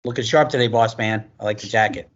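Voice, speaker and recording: A man's voice: on the low side, quick pace. Jokey older man teacher. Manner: jokey, relaxed. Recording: muffled lo-fi recording.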